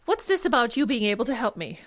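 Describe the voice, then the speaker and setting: A woman's voice: fairly high pitch, big pitch swings, fast talker. A woman playing a haughty, melodramatic, middle-aged wealthy mother, demanding and easily outraged, in a comic audio drama. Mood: imperious. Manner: imperious, melodramatic, gasping outrage.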